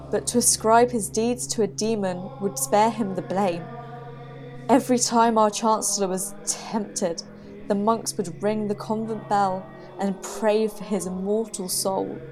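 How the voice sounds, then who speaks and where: A woman's voice: mid-range voice, warm tone, lightly acted. A woman playing a proud, persecuted queen in a period audio drama, with formal, theatrical diction. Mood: bitter, wary.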